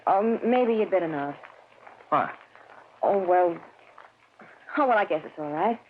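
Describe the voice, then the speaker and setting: A hesitant young stranger in an old radio play. The sound is tinny like a phone line, with clear hiss underneath. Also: female voice, fairly low pitch, lively intonation, takes it slowly. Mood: wary. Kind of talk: 1940s radio acting.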